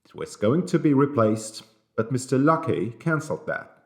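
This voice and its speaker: A man's voice: mid-range voice, moderate pace, roomy sound, voice pushed up. Man playing a grim, terse train conductor. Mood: impassive. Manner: flat, terse, pointedly emphatic. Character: grim, impassive, accusing male train conductor.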